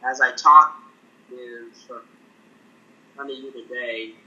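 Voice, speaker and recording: Stuttering young man, very bright voice. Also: medium pitch, steady tone, drawn-out words, dull audio, soft background music. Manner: stutters, with repeated sounds and restarts. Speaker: person sharing his experience. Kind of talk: personal testimony to camera.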